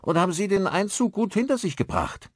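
Man acting a chatty postman, pitched up high. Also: lively intonation, a little fast, no room sound, studio quality. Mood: cheerful, helpful. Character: friendly, chatty village postman.

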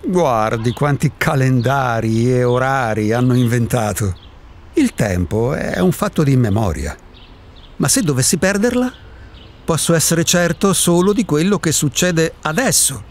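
A man's voice: mid-range voice, lively intonation, unhurried, a little hiss or hum, lightly acted. An actor in a radio drama playing an eccentric, solitary man who insists he does not exist, philosophizing in a provocative, impatient way. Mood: impatient, lecturing. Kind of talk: Italian radio drama acting.

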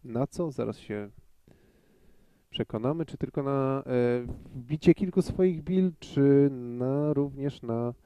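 A man giving live pool commentary in a measured way, thinking aloud with frequent hesitations. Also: mid-range voice, dark tone, slight background noise.

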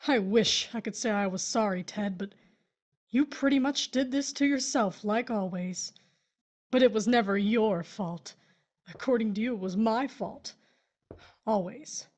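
A woman's voice: medium pitch, a little slow, pauses often, close to an everyday voice. A woman playing a grieving, weary widow in a horror audio drama, with a hesitant, distraught delivery. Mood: bitter, resigned.

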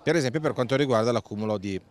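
A man's voice: medium pitch, rapid-fire. Middle-aged man, campaign coordinator, earnest on-camera interview, close handheld mic, at a fair stand. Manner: earnest, explanatory, with hesitant fillers. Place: indoor stand at a busy food fair. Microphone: handheld mic close below the face.

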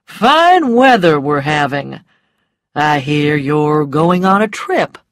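Suave male rogue, read by a woman: lowish, unhurried, ironic, teasing storybook part. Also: very animated, no echo, clean studio sound, heavily acted.

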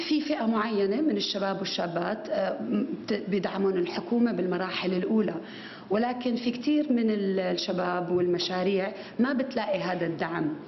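A woman's voice: medium pitch, a little hiss or hum. Woman giving a conference talk at a podium, lots of ums, echoey hall, bit muffled. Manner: animated gestures, many fillers and restarts. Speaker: conference speaker. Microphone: podium microphone.

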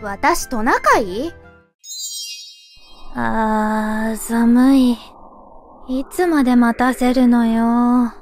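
A woman's voice: fairly high pitch, drawn-out words, long pauses, darkish tone, faint music behind. A woman playing a grumbling young shrine maiden in an anime-style fan voice drama, with dry, exasperated retorts.

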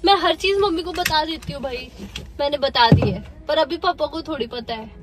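A young woman with a cold and a sore throat banters back and forth from the passenger seat of a car. Her voice sits high, and she is quick to argue back. Soft music plays under her. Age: young adult. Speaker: vlogger's best friend. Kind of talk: casual banter. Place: inside a car. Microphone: phone camera held by the driver.

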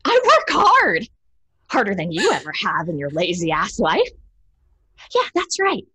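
A young woman playing a bitter, greedy daughter in a horror audio drama, halting and intense. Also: mid-range voice, wildly swooping pitch, pushed and bright, heavily acted. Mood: resentful. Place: small room at home, on video call.

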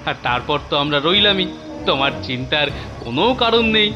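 A woman's voice: low voice, expressive, brisk, lo-fi sound, over music. A woman voicing a concerned, helpful crane in a children's cartoon, advising a friend. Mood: concerned advice.

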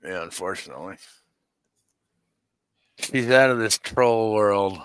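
A man's voice: fairly low pitch, slow, fairly bright tone, clean tone, dry recording. A man in casual podcast chat, swearing freely. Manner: profane.

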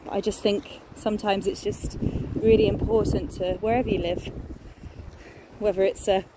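A woman's voice: mid-range voice, steady tone, normal speed. A woman in her thirties chatting enthusiastically while filming a walk outdoors, talking into a phone held at arm's length. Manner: enthusiastic, chatty, many fillers. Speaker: nature vlogger. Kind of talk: casual walking vlog. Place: outdoors in woodland and on a beach. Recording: outdoor phone recording with noise. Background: distant planes and outdoor ambience.

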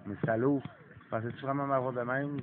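A man's voice: medium pitch, fairly even intonation, fairly quick, music in the background. A young man with a Québécois accent who has a cold, commenting on a mobile racing game, swearing and apologizing for it. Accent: Québécois French. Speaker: gaming YouTuber. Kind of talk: casual gameplay commentary. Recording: phone-like lo-fi, faint hiss.

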